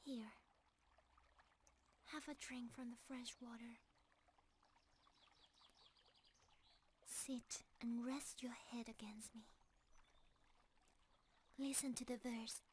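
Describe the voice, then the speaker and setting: A woman voicing a gentle, loving, timeless deer-centaur forest creature in a romantic ASMR roleplay, with a soft, breathy, often whispering voice and a calm, tender manner. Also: on the high side, a little hiss or hum. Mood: loving, soothing. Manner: calm, delicate, tender, unhurried.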